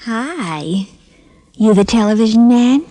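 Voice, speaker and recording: Casting: flirty airhead American moll, high swooping voice, close dry sound, slangy chatter, vintage radio show. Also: female voice, lively intonation, slow pace, dark tone, no room sound. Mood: flirty, naive. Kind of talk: old-time radio comedy-tinged detective drama.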